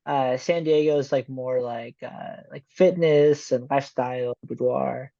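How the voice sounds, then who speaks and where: Mellow-voiced podcast host, lo-fi sound. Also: male voice, mid-range voice, unhurried, vocal fry, dry recording. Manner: relaxed, curious questioning. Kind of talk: casual interview chat. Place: San Diego. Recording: dull dry lo-fi sound.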